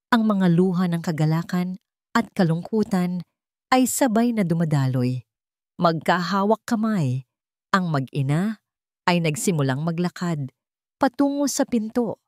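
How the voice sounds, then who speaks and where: A woman's voice: on the low side, darkish tone, no room sound, studio quality. A woman with a Filipino accent reading a dramatic story as its narrator in scripted storytelling style.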